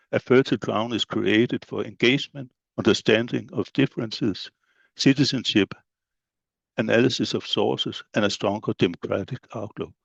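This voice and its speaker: A middle-aged man with a Danish accent and Danish as his first language, giving a measured conference presentation as a school head of studies, laying out points one by one with occasional fillers. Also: fairly low pitch, gravelly, no echo, highs cut off. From Denmark. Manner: measured, list-like delivery with fillers.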